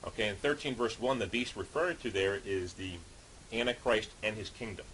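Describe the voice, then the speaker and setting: Low-voiced man preaching, hissy recording. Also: normal speed, fairly bright tone, low-quality recording. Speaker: street preacher. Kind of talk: Bible teaching sermon, reading and explaining scripture. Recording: hissy background noise.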